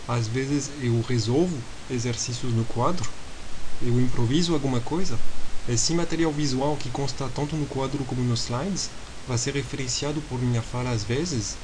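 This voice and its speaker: A man with a foreign accent giving an improvised university lecture in a podcast, speaking in a hesitant, measured way and spelling things out slowly. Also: medium pitch. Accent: foreign accent in Portuguese. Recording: hissy lecture recording.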